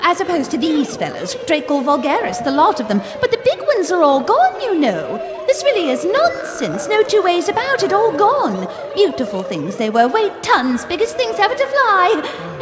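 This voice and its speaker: Jolly posh dragon breeder lady, super high swoopy voice, gushing, big hall reverb, music under it. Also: wildly swooping pitch, normal speed, exaggerated voice. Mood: excited, awed. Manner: plummy, gushing, old-fashioned jolly exclamations. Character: hearty upper-class lady dragon breeder, enthusiastic. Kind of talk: live radio comedy drama acting. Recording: live stage, long reverb, music behind.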